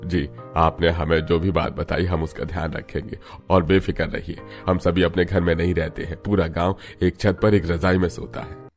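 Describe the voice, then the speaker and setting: A man voicing an earnest, agreeable villager in an animated cartoon story. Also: deep voice, moderate pace, faint music behind.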